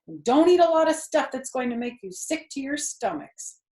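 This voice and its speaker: A woman's voice: high voice, animated, moderate pace, darkish tone, voice pushed up. An indulgent, practical farm father from a children's story, voiced by a woman reader. The delivery is firm and advising. The sound is echoey. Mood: firm, kindly.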